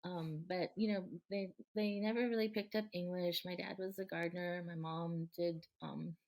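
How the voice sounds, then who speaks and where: A middle-aged woman speaking as a guest in a relaxed interview, earnest and thoughtful, with frequent ums, restarts and light laughter. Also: on the low side, normal speed. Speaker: guest, local politician.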